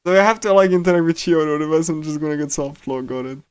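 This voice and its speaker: A man's voice: a little high, quick pace, clean tone, soft background music. A man streaming a visual novel with casual, rambling commentary, often repeating himself and exclaiming. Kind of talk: casual live commentary on a game.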